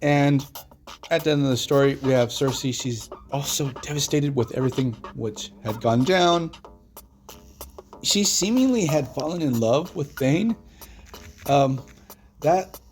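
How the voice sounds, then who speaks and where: Man, hoarse voice, mid-pitched, chatty solo host, rambling retelling, faint music underneath. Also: darkish tone. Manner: chatty, filler-heavy retelling with laughs. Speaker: comic book channel host. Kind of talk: casual solo video commentary.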